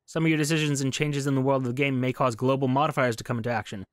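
A man's voice: medium pitch, fairly even intonation, very fast, dry recording. Wry male gamer, dry close sound. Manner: musing, wry asides. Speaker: gaming video host. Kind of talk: casual game playthrough commentary, reading on-screen text aloud. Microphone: close microphone, voice-over.

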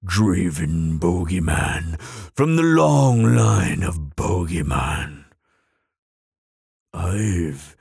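A man voicing a proud, grumpy but soft-hearted bogeyman in an audio roleplay, with a gravelly rasp and a pompous, easily flustered delivery. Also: sits low, no echo, studio quality, exaggerated voice. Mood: menacing, pompous. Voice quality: raspy, gravelly. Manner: pompous, flustered stammering, dramatic.

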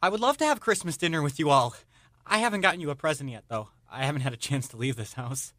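A man's voice: a little high, expressive, fast talker, pushed and bright, dry recording, clean studio sound. A young man playing an aimless, defensive son living at home in a radio play. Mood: eager. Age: young adult. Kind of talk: absurdist radio play acting.

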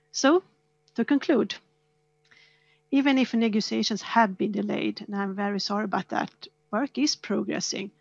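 Woman with a gravelly voice on a call. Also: a little high, no room sound. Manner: measured briefing with occasional slips. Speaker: negotiator. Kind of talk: webinar presentation. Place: small home room. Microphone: headset on video call. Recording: muffled video call audio.

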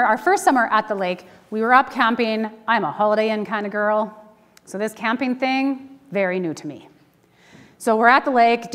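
Casting: Canadian woman preacher, middle-aged, higher-pitched voice, informal and animated, recorded in a reverberant sanctuary. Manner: informal, candid, animated delivery. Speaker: pastor. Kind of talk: conversational sermon with personal storytelling. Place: church sanctuary, speaking to a congregation. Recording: reverberant church hall.

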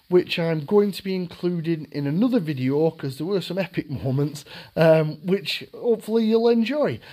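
A man's voice: high-pitched, darkish tone. A man hosting his own vlog, talking to viewers in a friendly, chatty way. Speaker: channel host. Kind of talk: casual vlog intro.

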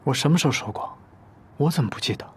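A curt young male boss played by an actor in a TV drama, fairly high-pitched for a man. He speaks in terse, cool challenges, aloof and suspicious, over clear vehicle noise. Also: animated, fast, lightly acted. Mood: cool, annoyed. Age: 20s. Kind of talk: naturalistic TV drama acting.

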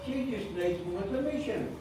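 A woman's voice: medium pitch, expressive, moderate pace, lightly acted. A woman playing a grumpy, exasperated, blunt wizard in an amateur pantomime. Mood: brusque. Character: grumpy, exasperated wizard who snuck out for a smoke. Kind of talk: amateur pantomime, read from script. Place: care home common room, indoors. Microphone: far camera. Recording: echoey room, distant camera, noisy audience.

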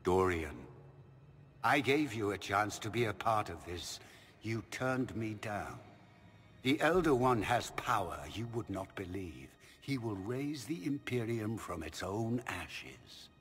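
A grandiose villain magister. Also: male voice, lowish voice, sing-song, slow pace, fairly bright tone, heavily acted. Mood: menacing. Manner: smooth, menacing, grandiose. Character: scheming middle-aged male magister villain. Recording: game audio with hall reverb and music.

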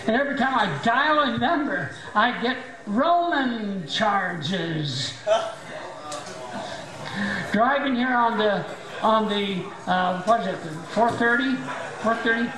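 Squeaky-voiced older man doing stand-up. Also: slow. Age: 70s. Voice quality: squeaky, high voice after voice surgery. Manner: dry, self-mocking, deadpan jokes. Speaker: stand-up comedian. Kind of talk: stand-up comedy set. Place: small tavern stage with audience. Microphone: handheld mic. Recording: echoey bar room with crowd.

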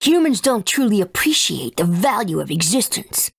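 Arrogant game villain, mid-pitched, animated, unhurried, bitterly scornful, dry studio sound. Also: female voice, vocal fry, slightly rough, dry recording, full character voice. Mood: haughty, amused. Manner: imperious, theatrical, drawn-out. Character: haughty, bitter ruler of darkness who despises humans. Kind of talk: video game voice acting.

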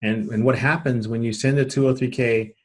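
A middle-aged American man with a medium-pitched voice presents a training webinar. He explains things informally and colloquially, in a plain-spoken manner. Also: fairly even intonation, fairly quick.

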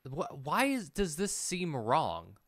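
A man's voice: fairly high pitch, huge pitch swings, takes it slowly, fairly bright tone, no room sound. A man in his thirties giving casual let's play commentary, thinking aloud and muttering to himself as he plays. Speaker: gaming video host.

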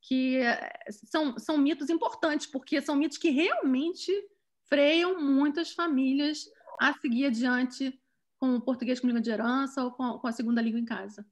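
Female host, high voice, halting delivery with restarts, laptop mic on a video call. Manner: hesitant, many restarts and fillers. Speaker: event host and moderator. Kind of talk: moderating a community video call.

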